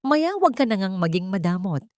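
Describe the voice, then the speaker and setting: A woman's voice: mid-range voice, sing-song, fairly quick, no room sound, studio quality, lightly acted. A woman voicing a domineering, greedy older mother-in-law, brazen and falsely caring, in an audio story. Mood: bossy, falsely caring. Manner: sharp, brazen, commanding. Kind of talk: audio storybook character dialogue.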